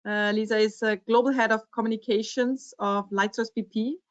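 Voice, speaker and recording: A woman moderating an awards webinar, polite and brisk as she thanks and introduces speakers over a video call. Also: a little high, steady tone. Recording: dry, lo-fi video call audio.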